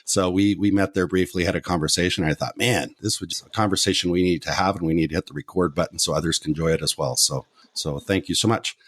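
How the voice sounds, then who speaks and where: A man's voice: deep voice, fast, few pauses. A man hosting a travel podcast, chatty and upbeat, with frequent restarts. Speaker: podcast host. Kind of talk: scripted intro then casual interview.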